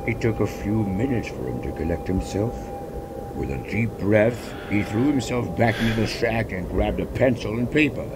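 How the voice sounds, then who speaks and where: A man's voice: lively intonation, normal speed. A man reading a story aloud as a dramatic scripted narration. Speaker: fan fiction narrator. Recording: loud noise and rumble behind the voice.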